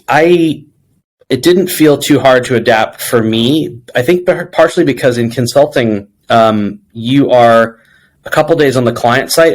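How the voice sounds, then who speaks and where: A man's voice: mid-range voice, poor audio. A man speaking as an interview guest, answering conversationally and telling reflective stories with bits of quoted dialogue. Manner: reflective storytelling, quoting dialogue. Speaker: interview guest, people executive.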